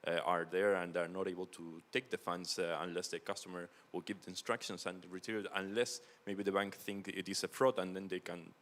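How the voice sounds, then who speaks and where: A man from Chile with a Chilean Spanish accent, asking a question from the audience in a halting, word-searching way. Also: low-pitched, little pitch movement, a bit bright. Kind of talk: spontaneous question at a meetup. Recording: reverberant hall sound.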